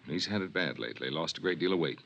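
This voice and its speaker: A deep-voiced, courteous prison chaplain. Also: male voice, animated, quick pace, creaky, highs cut off, exaggerated voice. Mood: kindly, sombre. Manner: polite, earnest, gently persistent. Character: mild, courteous, tall male prison chaplain. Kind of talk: 1950s radio drama acting. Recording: vintage 1950s radio recording.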